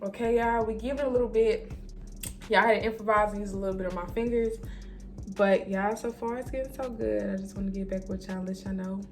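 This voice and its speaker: A young woman chatting casually to the camera as a vlogger, animated, slangy and emphatic, often repeating herself. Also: mid-range voice, a little fast, music in the background. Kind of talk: casual storytime chat to camera.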